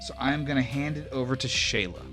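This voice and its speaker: A man's voice: medium pitch, a little fast, bright, forceful tone, faint music behind. A man narrating a tabletop roleplaying game as game master, in casual language with some swearing.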